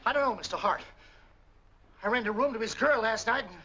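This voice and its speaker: High-pitched, cranky American night clerk. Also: male voice, a little fast, bright, forceful tone, highs cut off. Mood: agitated, defensive. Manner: grumbling, folksy. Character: cranky, evasive male night clerk, folksy and defensive. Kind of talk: 1940s film noir acting. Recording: old film soundtrack with faint music.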